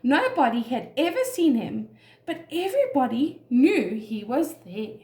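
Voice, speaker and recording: A woman reads to young children as a nursery teacher. Her voice is high and very expressive, taking its time over each phrase, in an echoey room. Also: a little slow. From South Africa. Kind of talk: reading a children's story aloud.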